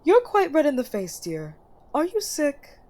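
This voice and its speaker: A woman voicing a doting queen mother who gently frets over her son, in a motherly ASMR fantasy roleplay style. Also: high-pitched, wildly swooping pitch, a little slow, voice pushed up, full character voice. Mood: fond concern. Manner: motherly, solicitous.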